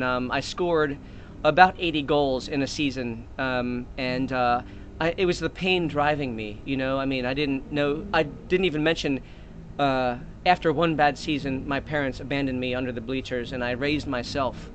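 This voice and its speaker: Male comic storyteller, rambling and mock-earnest, mid-pitched, faint noise behind. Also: fairly even intonation, normal speed. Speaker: comedic storyteller. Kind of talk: improvised comic monologue.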